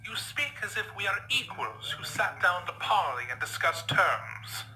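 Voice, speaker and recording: A man playing a suave, condescending vampire stallion in a fan audio drama, with theatrical, drawn-out, mocking phrasing. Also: high-pitched, sing-song, bright, forceful tone, soft background music, full character voice, poor audio. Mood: condescending, threatening.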